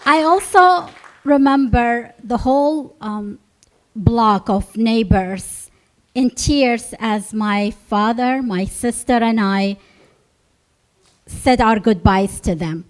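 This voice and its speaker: A woman in her fifties telling a personal story to an audience in an unhurried, reminiscing way. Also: fairly high pitch, poor audio. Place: library event stage.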